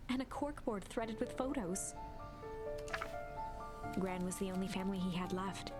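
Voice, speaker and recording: A woman reading a video game's story aloud as narrator, in a dramatic storybook style. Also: medium pitch. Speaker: let's play reader and narrator. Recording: loud music behind the voice.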